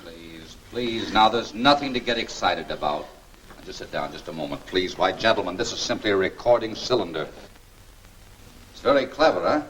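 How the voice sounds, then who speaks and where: Casting: shrewd, composed sleuth, lowish lively voice, articulate and commanding, distant vintage serial soundtrack. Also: male voice, normal speed. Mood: calm, brisk. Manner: articulate, authoritative, takes charge. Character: shrewd, composed gentleman sleuth-scientist, secret crime fighter. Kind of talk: 1940s film serial acting. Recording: noisy, distant 1940s film soundtrack.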